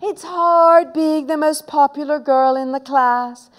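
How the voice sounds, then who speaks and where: A woman's voice: sits high, slow pace, long reverb tail, voice pushed up. A woman voicing a moody, vain, bossy schoolgirl in a children's storybook, braying in a piercing way. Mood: bragging.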